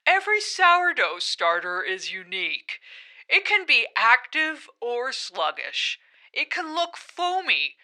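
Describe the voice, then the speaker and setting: A woman voicing a dreamy, gushing female baking teacher in an improvised comedy audio drama, enthusing slowly in a sing-song way. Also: a little high, very animated, bright, forceful tone, voice pushed up, heavily acted. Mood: rapturous. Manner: slow, sing-song, enthusing over starters.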